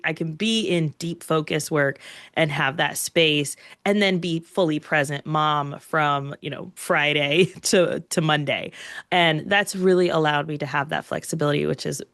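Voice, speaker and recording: Woman, 30s, from upstate NY, upbeat guest who laughs easily; lower voice, dry close sound. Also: a bit bright, dry recording. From upstate New York. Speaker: podcast guest, agency owner. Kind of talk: casual interview chat.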